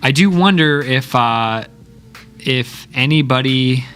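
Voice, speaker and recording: A man's voice: mid-range voice, slow, a bit bright, soft background music. A young man casually hosting a church youth livestream, chatting, joking about himself and reading viewer comments aloud. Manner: chatty, reads viewer comments, self-deprecating jokes. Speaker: youth stream host.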